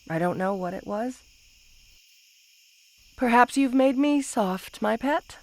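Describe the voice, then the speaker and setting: Woman acting a slow, possessive lamia queen. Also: medium pitch, close to an everyday voice. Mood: confiding, wistful. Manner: slow, tender, sighing, possessive delivery. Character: possessive, lovesick yandere lamia queen, half snake. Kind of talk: intimate roleplay ASMR acting.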